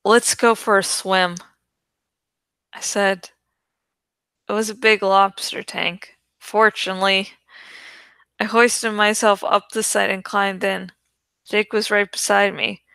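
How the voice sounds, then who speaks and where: A woman narrates adventure fiction with expressive suspense. Her voice is bright and smooth, a little gravelly at the edges. She takes her time with each line. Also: medium pitch, slow, long pauses, no echo. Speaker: audiobook reader. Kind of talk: dramatic scripted narration of a young adult novel.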